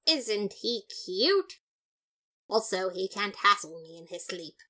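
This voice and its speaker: A woman's voice: medium pitch, big pitch swings, slow, bright, forceful tone, heavily acted. A woman voicing an earnest, boastful male skeleton detective who narrates his case in a fan audio drama, theatrical and emphatic. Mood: gleeful, proud. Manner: theatrical, self-satisfied, emphatic.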